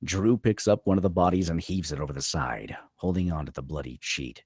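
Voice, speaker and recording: Very deep husky male script narrator. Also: brisk, a little mellow, dry recording, clean studio sound. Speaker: narrator reading screenplay directions. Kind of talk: scripted narration at a film table read.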